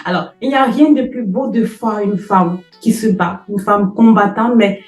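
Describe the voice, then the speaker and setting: A woman's voice: mid-range voice, moderate pace, darkish tone, roomy sound, soft background music. A woman hosting an interview, speaking with effusive emphasis and repeating phrases for effect. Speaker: host. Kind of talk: emphatic podcast introduction and interview.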